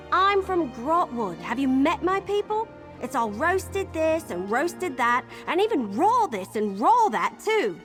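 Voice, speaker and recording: A woman's voice: high-pitched, fairly bright tone, full character voice. A woman playing an eager, chatty young adventurer in a video game, with bubbly, folksy exclamations. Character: eager, chatty young female adventurer who loves cake. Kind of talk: video game character dialogue. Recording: game music underneath. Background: game music.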